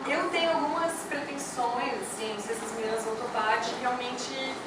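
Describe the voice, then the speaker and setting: A woman's voice: on the high side, a little fast. A woman with a Brazilian Portuguese accent informally announcing and discussing plans as an organiser. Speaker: organiser of the play reading. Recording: very echoey, noisy room, far off.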